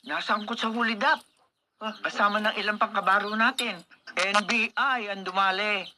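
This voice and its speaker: Man playing a high-pitched, gossipy policeman. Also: fairly even intonation, normal speed, close to an everyday voice. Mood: gossipy, relieved. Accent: Filipino. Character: gossipy, excitable but honest male police colleague. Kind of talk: Philippine radio drama acting. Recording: crowd chatter and clucking behind.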